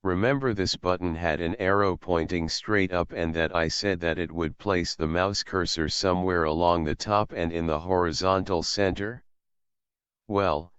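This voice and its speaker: A man calmly and methodically walking through a screen-recorded software tutorial as an instructor. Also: low-pitched, clear, resonant tone, no echo. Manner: calm, methodical explaining. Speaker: assistive technology instructor. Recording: slightly dull, dry voice-over.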